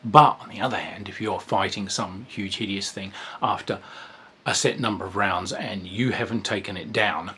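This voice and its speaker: A man talks through a tabletop game in an easygoing, meandering way, full of fillers and restarts. His voice sits a little low and has a husky grain. A dry, dark humour runs through his delivery. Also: moderate pace. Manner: rambling and informal, with many fillers and restarts. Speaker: game reviewer. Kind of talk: casual spoken game review.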